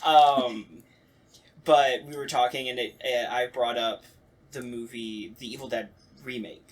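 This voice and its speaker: A man's voice: medium pitch, fairly even intonation, unhurried, rough recording. Man chatting casually, telling stories. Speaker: podcast host. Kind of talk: casual chat about radio plays.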